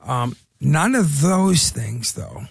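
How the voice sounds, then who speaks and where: A man co-hosting a casual radio chat, talking haltingly with lots of fillers and restarts. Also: a little high, sing-song, vocal fry, husky. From Irish heritage. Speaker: radio co-host.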